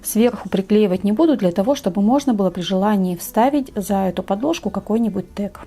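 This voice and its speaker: A woman narrating a step-by-step craft tutorial in a measured, explanatory way. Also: medium pitch, dark tone.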